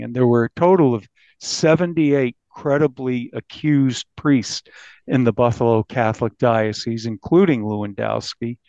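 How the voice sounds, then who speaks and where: A man speaking as an investigative journalist in an interview, recounting a crime case in a halting but emphatic conversational way. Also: mid-range voice, no echo. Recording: dull, band-limited audio.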